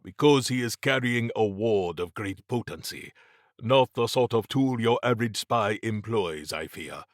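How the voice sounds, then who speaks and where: A man plays a learned, courteous occult scholar in an audiobook. He speaks low and slowly, with grave formality and a portentous weight, in a dry recording. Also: animated, dry recording. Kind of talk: audiobook character dialogue.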